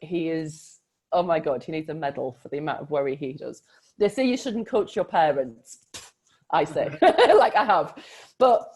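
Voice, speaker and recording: A woman coach co-hosting a relaxed chat about books and mindset, talking in an animated, emphatic way. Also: fairly low pitch, husky. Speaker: coach and co-host. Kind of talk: casual conversation.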